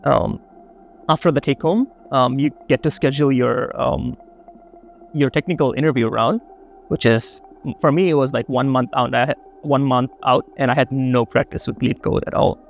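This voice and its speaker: Gravelly young man from Bangladesh. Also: medium pitch, moderate pace, muffled recording, soft background music. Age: young adult. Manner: relaxed, rambling with many fillers. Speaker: interview guest, student. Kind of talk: casual interview answers.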